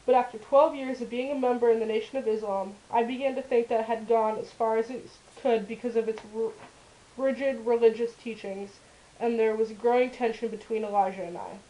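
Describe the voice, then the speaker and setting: A woman's voice: on the high side, fairly even intonation, fairly quick, dark tone, close to an everyday voice, poor audio. A woman playing a firm, defiant male activist in a puppet show, narrating his life in the first person. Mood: earnest, firm.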